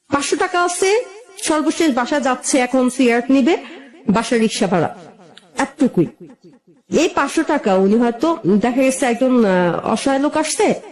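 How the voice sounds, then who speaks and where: A woman with a Bangladeshi accent telling her own life story as an interview guest, conversational, wistful and admiring. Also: fairly high pitch.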